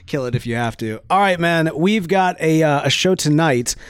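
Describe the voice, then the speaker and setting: A man in his thirties chatting on a podcast, his voice a touch high and full of swoops. He rambles and restarts often, laughing as he goes. The sound is dry and close. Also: expressive, moderate pace, clear, resonant tone, no room sound. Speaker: podcast co-host. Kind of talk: casual podcast chat.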